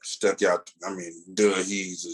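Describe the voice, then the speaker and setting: A man with an American accent chatting casually as a podcast co-host, telling things in a slangy, animated, halting way. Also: deep voice, husky. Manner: slangy, animated storytelling with many filler pauses. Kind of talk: casual chat.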